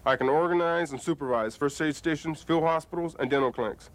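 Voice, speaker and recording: A man, an army medic, introduces himself in a scripted, businesslike way. He recites his skills quickly, and his voice sits a little high. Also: low-quality recording. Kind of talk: scripted military self-introduction.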